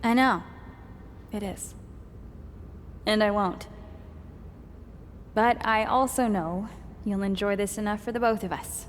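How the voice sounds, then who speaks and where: A woman's voice: mid-range voice, fairly even intonation, slow pace, long pauses, natural voice. A woman playing a businesslike, supportive promoter friend in a wrestling game cutscene, speaking casually. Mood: fond, reassuring. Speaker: voice actor in a wrestling video game. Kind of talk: casual acted cutscene dialogue. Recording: reverberant room, faint noise.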